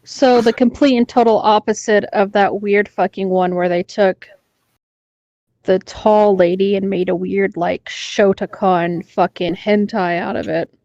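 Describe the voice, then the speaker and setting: A woman's voice: medium pitch, steady tone, a little slow, darkish tone, no echo, dull audio. A woman chatting casually and bluntly, with plenty of swearing. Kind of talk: casual chat.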